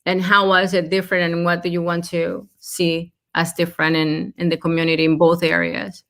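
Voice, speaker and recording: A woman's voice: lowish voice, monotone, moderate pace, a bit bright. A woman from the Dominican Republic moderating a panel discussion as host, speaking in an encouraging, supportive manner.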